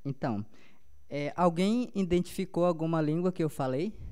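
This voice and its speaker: A young man with a Paumari accent, a native Paumari speaker, giving a halting talk at a panel with many restarts. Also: fairly high pitch, darkish tone, clear, resonant tone.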